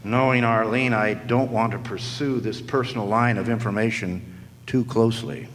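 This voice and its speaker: A man's voice: lowish voice, steady tone, moderate pace, natural voice. Man acting a sardonic hard-boiled detective, echoey hall. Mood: wry. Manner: sardonic, slangy wisecracks. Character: wisecracking, world-weary hard-boiled male private detective. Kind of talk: 1940s radio noir acting, first-person narration. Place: live stage performance in a hall. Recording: echoey hall, background noise.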